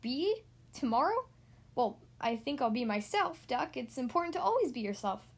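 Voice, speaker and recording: A woman's voice: high-pitched, big pitch swings, moderate pace, breathy edge, exaggerated voice. A woman voicing a timid, anxious young male goose who stutters with fright in a children's storybook. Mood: earnest.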